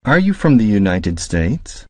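A man reading short English phrases one at a time with slow, careful enunciation for a language drill. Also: on the low side, sing-song, no echo.

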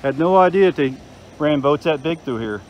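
A man's voice: a little high, animated, normal speed, darkish tone, a little hiss or hum. Chatty man filming by a river. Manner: chatty, enthusiastic, points things out. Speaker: vlogger. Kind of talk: casual outdoor video tour. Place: outdoors by a river.

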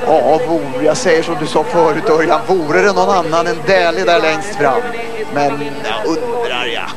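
A man's voice: on the high side, over loud music. Swedish man calling a ski relay. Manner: measured, rising to excited calls at the finish. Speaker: sports commentator. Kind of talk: live ski race commentary.

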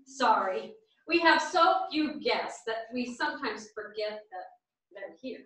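A woman's voice: on the high side, animated, takes it slowly, a bit bright. A woman playing an effusive, proud older former professional in a staged play reading. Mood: earnest. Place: small theatre stage, indoors. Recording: very echoey theatre, distant microphone.

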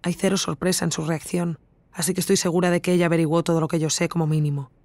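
An actress playing an introspective podcast investigator with an accent from Spain, narrating in a measured, reflective first person for an audio drama. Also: female voice, on the low side, level tone, a little mellow, no room sound, close to an everyday voice. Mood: concerned, matter-of-fact.

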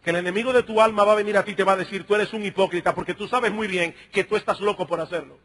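A middle-aged man preaching a sermon as a pastor, emphatic and husky, addressing his listeners directly with rhetorical questions. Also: sits high, fairly even intonation, racing, fairly bright tone. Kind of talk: sermon, expository preaching to a congregation.

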